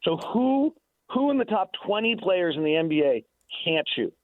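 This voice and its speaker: A man's voice: high voice, very animated, moderate pace. A man giving conversational sports analysis on the radio as a guest, emphatic and argumentative, repeating himself for stress. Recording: tinny phone-like line.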